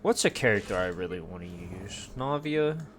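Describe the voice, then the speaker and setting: A man's voice: low voice, animated, normal speed, a bit bright, slight background noise. A man giving casual gameplay commentary, muttering to himself in short phrases as he plays. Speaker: game streamer. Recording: game music behind. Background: video game music and effects.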